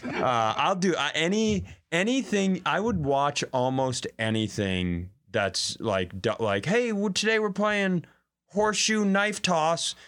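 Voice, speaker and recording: A man's voice: a little high, slow. A man co-hosting a comedy podcast in casual, profane, joking chat, speaking into a room microphone. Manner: profane, animated banter. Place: studio room.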